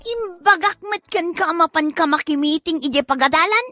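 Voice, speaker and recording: A woman's voice: very high-pitched, normal speed, sharp tone, no echo. A woman playing a suspicious, nagging wife in an Ilocano comedy drama, scolding and exclaiming. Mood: exasperated scolding. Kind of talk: comedy radio-style drama acting.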